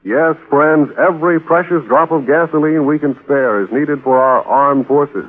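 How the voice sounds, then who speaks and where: A man's voice: a little high, moderate pace, phone-quality sound, soft background music. A man announcing on 1940s radio, delivering scripted copy with polished, emphatic salesmanship. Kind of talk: 1940s radio advertising read. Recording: thin, band-limited 1940s radio.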